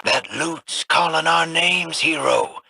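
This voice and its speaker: A man's voice: a little high, slow, creaky, pushed and bright, husky, no room sound, exaggerated voice. A man voicing a brash, swaggering pirate captain in a video game, drawling his orders.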